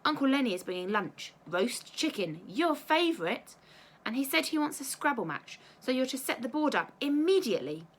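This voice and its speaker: A woman voicing an apologetic, coaxing mother in a children's storybook, gently persuasive and affectionate. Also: high voice, sing-song, moderate pace, a little hiss or hum, voice pushed up. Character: apologetic, coaxing mother of a nine-year-old.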